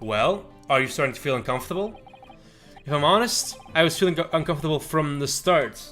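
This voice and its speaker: A man's voice: a little high, fairly quick, a bit bright, faint music behind. A man streaming a game, reading visual novel dialogue aloud.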